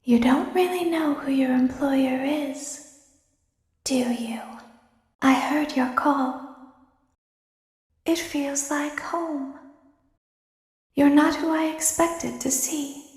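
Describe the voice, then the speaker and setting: A woman voicing a sinister, girlish female animatronic who talks in a soft, menacing whisper. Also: high voice, reverberant. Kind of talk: fan voice acting of game lines.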